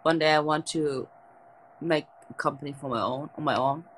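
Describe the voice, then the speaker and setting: A woman's voice: deep voice, normal speed, a little hiss or hum, rough recording. A young woman from Germany with a German accent, chatting casually with viewers on a late-night livestream from her bed, talking into a phone held close. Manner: lying down, relaxed, chatty with viewers. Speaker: livestream host. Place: bedroom at night.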